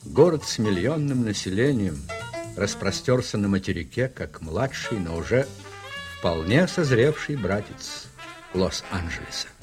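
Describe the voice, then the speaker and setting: A man reading dramatic scripted narration for a radio play in an expressive, literary manner. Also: on the low side, measured pace, over music.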